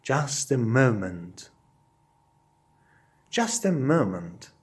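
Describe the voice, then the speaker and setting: Very slow-paced man reading lesson phrases. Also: medium pitch, very animated, slightly rough. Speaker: English teacher. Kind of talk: English lesson, reading short phrases aloud with repetition.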